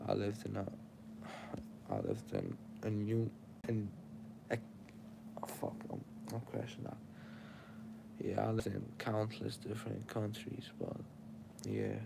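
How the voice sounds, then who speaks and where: A man's voice: on the low side, expressive, a little slow, vocal fry, pauses often, background noise. A young man with an Arabic accent, sleepy and tired, preaching casual life advice straight to his livestream chat.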